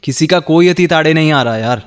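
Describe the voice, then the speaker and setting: An actor playing a withdrawn, wounded young husband in a radio drama, speaking in a halting, strained way. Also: male voice, fairly high pitch, natural voice. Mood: hurt, resentful. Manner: halting, guarded, emotionally strained. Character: wounded, withdrawn young husband hiding resentment behind duty. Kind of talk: Hindi radio drama acting. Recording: long hall reverb, faint music behind.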